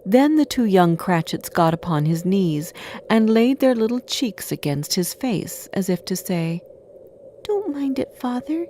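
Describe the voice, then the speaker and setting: A woman reading a scripted storybook tale as narrator, with an expressive, deliberate delivery. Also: fairly low pitch, a little hiss or hum.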